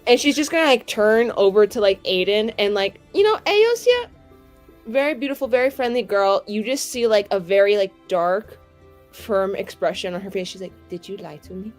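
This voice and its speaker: A woman describes her character's moves in a tabletop game. She is informal and a little tentative, with a medium-pitched voice and background music. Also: fairly quick. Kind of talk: casual improvised description of character actions.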